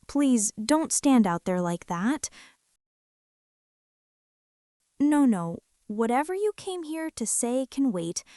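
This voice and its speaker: Slow, soft, gentle nervous mother played by a woman. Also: high-pitched, dry recording, studio quality, exaggerated voice. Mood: worried. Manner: tender, hushed, hesitant, reassuring. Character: gentle, nervous mother fussing over a hurt boy. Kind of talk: soft-spoken ASMR roleplay.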